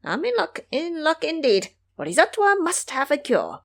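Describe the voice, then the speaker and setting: A woman playing a brash, excitable male monkey disciple who speaks in formal, emphatic storybook language, cheeky but pleading. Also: high voice, moderate pace, creaky, pitched above the performer's own voice, exaggerated voice. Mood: gleeful, eager.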